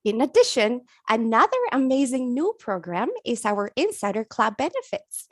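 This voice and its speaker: A woman's voice: a little high, no echo, studio quality. A woman hosting a webinar, reading polished scripted announcements. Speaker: webinar host. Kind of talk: read announcement.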